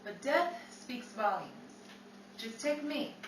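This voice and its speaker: A roomy, distant recording of an actress as a defiant young showgirl in a 1930s-style radio play. Her voice is on the high side, brash and driven, with dramatic delivery. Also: very animated, slow pace, exaggerated voice. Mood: bitter, musing. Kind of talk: 1930s old-time radio drama acting. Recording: roomy, distant mic with faint noise.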